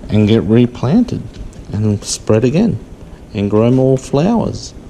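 A woman with a very deep voice reads a children's storybook at an unhurried pace. Her delivery is measured but lively in intonation, slipping into casual questions for a small child. It is a home camera recording with some room noise. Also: low-quality recording. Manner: measured storybook phrasing, asking simple questions. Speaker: parent reading aloud to a small child. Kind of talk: picture-book reading mixed with casual chat to a child. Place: living room indoors.

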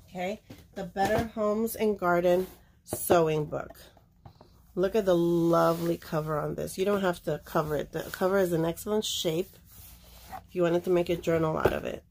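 A woman's voice: on the low side, a little mellow, slight background noise. A woman casually showing old books for sale, chatting and rambling as she flips through pages. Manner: chatty, rambling, trails off mid-sentence. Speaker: seller showing books for auction. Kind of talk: casual show-and-tell. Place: indoors at a table of books.

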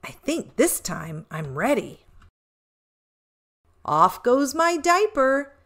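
Woman voicing an eager, high-pitched little boy. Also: slow pace, exaggerated voice. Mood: excited, proud. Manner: exclaiming, sing-song, childlike excitement. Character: proud, eager little toddler boy in a picture book.

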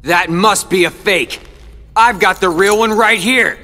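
An actor voicing a brooding teenage rival who speaks coldly and accusingly. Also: male voice, sits high, unhurried, sharp tone, long reverb tail, exaggerated voice. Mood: hostile. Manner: cold, accusing. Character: brooding silver-haired teen rival, cold and bitter. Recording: game cutscene audio with music.

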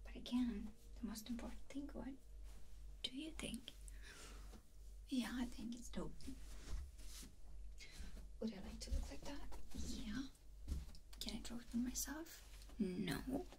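Young woman, soft breathy whisper, slow pace, gentle, close-miked, ASMR personal attention. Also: a little high, expressive. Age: 20s. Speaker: ASMR host. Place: small furnished room indoors. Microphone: close ASMR microphone, off screen.

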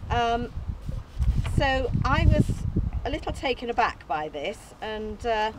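A woman's voice: on the high side, big pitch swings, takes it slowly, heavy background noise. An older woman delivering an earnest, modest opening speech outdoors from notes, with small hesitations and light laughs, picked up by a distant camera. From England. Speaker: allotment group founder giving an opening speech. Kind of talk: prepared speech read from notes. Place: outdoors on an open field site. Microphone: camera at a distance, no mic.

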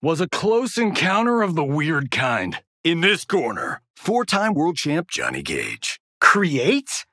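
Cocky, animated Hollywood action star. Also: male voice, fairly high pitch, slow pace, hardly any pauses, dry recording, clean studio sound, exaggerated voice. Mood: brash, boastful. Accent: American. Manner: swaggering, sarcastic, showy. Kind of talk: video game character one-liners.

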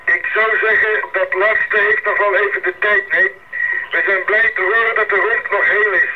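A man's voice: sits high, steady tone, moderate pace. An actor playing a practical, concerned missionary man talking over the radio in a radio drama. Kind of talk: 1980s Dutch radio drama acting. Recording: muffled noisy radio link.

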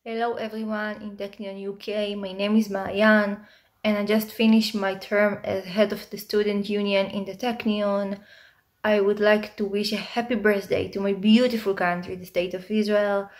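Young woman, flat formal greeting. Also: mid-range voice, moderate pace. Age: 20s. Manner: plain, even and formal. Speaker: former student union head. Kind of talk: recorded video greeting. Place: room indoors by a plain wall. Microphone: webcam on a video call.

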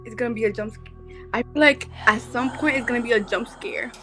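A young woman chatting casually and excitedly over a phone video call from a room at home. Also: a little high, brisk. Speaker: stranger on random video chat. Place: indoor room with ceiling fan. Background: music.

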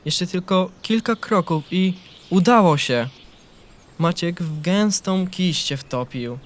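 Higher-voiced man narrating a children's story. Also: animated, slow pace.

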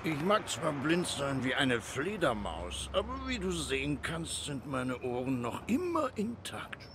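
A scruffy, sardonic old down-and-out from a video game. His pitch swings widely as he grumbles, with clear noise behind him. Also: very animated, unhurried. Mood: wry, secretive. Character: blind, scruffy, prickly homeless goat man.

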